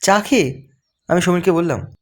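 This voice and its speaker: A man's voice: high-pitched, moderate pace. A man playing a frightened young man who tells a horror story in dramatic, suspenseful first-person narration. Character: frightened young man recounting his haunted-house visit. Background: music.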